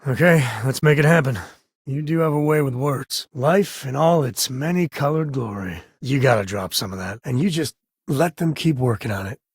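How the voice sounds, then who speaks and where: An actor playing a laid-back, sardonic space ranger and devoted father in a video game, with a folksy, wry way of talking and a husky, gravelly voice. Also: medium pitch, lively intonation, normal speed, dry recording, studio quality. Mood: easygoing, resolute. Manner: folksy, wry, drawling informal speech. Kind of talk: video game companion dialogue.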